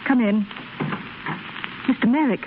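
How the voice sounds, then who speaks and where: An actress playing a gentle, anxious woman in a 1930s radio drama. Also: fairly high pitch, a little slow, natural voice. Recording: muffled, noisy 1930s radio recording.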